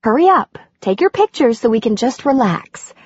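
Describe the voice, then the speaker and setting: A woman voicing a warm, anxious middle-aged woman in an audiobook, talking brightly and quickly. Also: big pitch swings, husky, no echo. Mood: eager. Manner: bright and eager, higher than her narration. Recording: dry, dull lo-fi audiobook recording.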